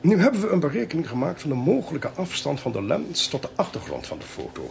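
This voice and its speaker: A shrewd police chief in a Dutch radio play. His delivery swoops dramatically up and down, with a gravelly creak in it. He is deliberate, wry and theatrical, over a faint hiss. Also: a little high, huge pitch swings, heavily acted. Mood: triumphant, explanatory. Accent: Dutch (Netherlands).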